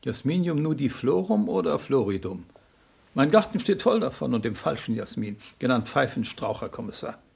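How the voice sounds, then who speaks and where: Prickly learned pharmacist, very creaky husky voice, indignant under questioning, sixties radio crime drama. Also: male voice, medium pitch, very animated, moderate pace, darkish tone, exaggerated voice. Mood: pedantic. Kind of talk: 1960s German radio crime play acting.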